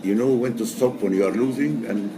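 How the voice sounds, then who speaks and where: A man in his sixties, raised in Egypt, answering interview questions casually in halting English full of fillers and restarts. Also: medium pitch. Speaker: poker player guest. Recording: music playing behind.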